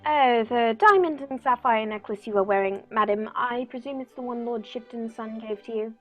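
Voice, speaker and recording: A woman playing a courteous, shrewd gentleman detective in a formal, measured radio-play manner. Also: fairly high pitch, highs cut off, faint music behind, close to an everyday voice. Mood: politely insistent. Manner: polite, measured, formal, knowing. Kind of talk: 1940s radio play acting.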